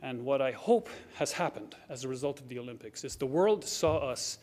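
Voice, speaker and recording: A man's voice: mid-range voice, lively intonation, normal speed, a little mellow. Earnest middle-aged Irish man at a podium. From Ireland. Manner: earnest, reflective, with frequent restarts. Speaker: award recipient giving a speech. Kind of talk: heartfelt acceptance speech. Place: banquet hall at a lectern.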